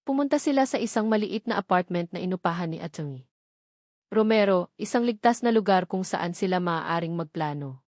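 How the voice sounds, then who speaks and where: A woman's voice: medium pitch, darkish tone, no echo, studio quality. A woman narrator reading a scripted romance story in a dramatic storytelling style.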